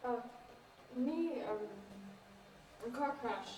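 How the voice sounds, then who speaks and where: A young woman acting an earnest, uneasy peacekeeper with halting speech in a stage play. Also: a little high, animated, darkish tone. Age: young adult. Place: indoor stage, recorded from afar. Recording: distant echoey stage recording, faint noise.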